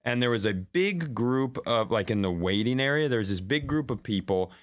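A man's voice: medium pitch, animated, moderate pace. An American man co-hosting a comedy podcast, telling a story casually in a self-deprecating, stop-and-start way.

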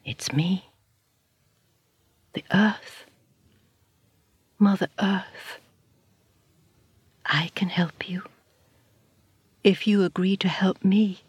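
A woman voicing a gentle earth mother spirit in a soft, hushed, almost whispered manner. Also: medium pitch, fairly even intonation, very slow, pauses often, slightly rough, dry recording, muffled recording, heavily acted.

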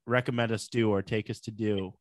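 A man's voice: lowish voice, fairly even intonation, a little fast, no echo. A man co-hosting a podcast in a casual, conversational style. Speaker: podcast co-host. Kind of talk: casual chat.

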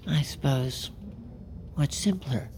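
A woman's voice: extremely low pitch, takes it slowly, a little mellow, a little hiss or hum, full character voice. A woman playing a careful, guarded new office employee in an audio drama, answering in a hesitant, formal, evasive way.

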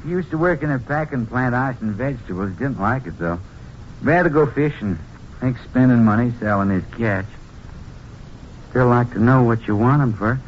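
A man's voice: mid-range voice, animated, moderate pace, natural voice. An actor playing an evasive, folksy rural Texas man, defensive about his brother, in a 1950s radio drama. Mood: casual, evasive. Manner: folksy, colloquial, dropped subjects and double negatives. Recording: lo-fi 1950s radio, faint noise.

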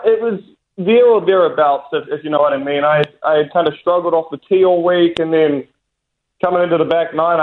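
A young man from New Zealand with a New Zealand accent, a golfer answering interview questions casually, with many fillers and restarts. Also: a little high. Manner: hesitant, many fillers and restarts, laughs easily. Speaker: professional golfer, guest. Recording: tinny phone line.

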